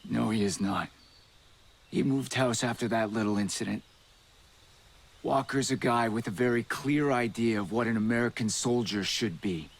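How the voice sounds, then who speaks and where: A man playing a raspy, grudging informant. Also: mid-range voice, normal speed, natural voice. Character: shaken, bitter male informant.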